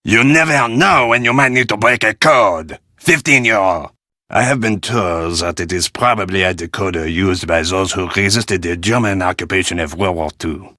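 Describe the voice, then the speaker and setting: An actor playing a polite, insistent Parisian market vendor in a video game, speaking formally with a French accent. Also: male voice, low voice, pushed and bright, no echo, studio quality. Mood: emphatic. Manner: courteous, formal salesman's patter. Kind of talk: video game character dialogue.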